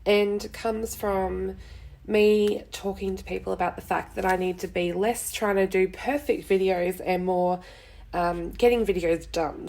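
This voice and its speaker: A young woman with a cold and a hoarse, snuffly voice chats casually through a live card-making demo at her home desk, greeting viewers with lots of ums. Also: mid-range voice, a little mellow.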